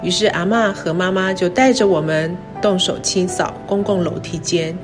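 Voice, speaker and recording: Middle-aged woman, Taiwanese Mandarin accent, reflective scripted narration, measured phrasing, clear music bed behind. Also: on the low side, steady tone. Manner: measured, short careful phrases. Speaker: university president. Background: background music.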